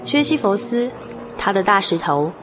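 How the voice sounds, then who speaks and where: A woman's voice: mid-range voice, sing-song, normal speed. A woman narrator giving a scripted, dramatic storytelling narration. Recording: music behind.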